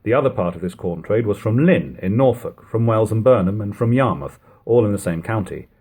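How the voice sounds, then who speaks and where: Male narrator for classic literature: lightly husky tone, animated intonation, measured storytelling delivery. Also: on the low side. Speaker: audiobook reader. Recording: lo-fi home recording.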